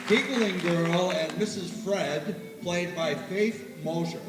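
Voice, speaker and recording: A man with a high voice makes a formal stage announcement, reading out credits at a slow, measured pace. He is heard from far off in a reverberant hall, with loud music and crowd noise around him. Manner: deliberate, measured listing of names. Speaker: announcer introducing cast and crew. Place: theater hall at a stage performance. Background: loud music and audience noise.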